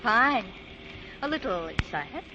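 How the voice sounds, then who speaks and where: A woman's voice: a little high, big pitch swings, takes it slowly. A woman playing a wary but amused young girlfriend in 1940s radio comedy, bantering in a skeptical, teasing way. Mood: teasing, coy. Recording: muffled, noisy old radio broadcast, crowd behind.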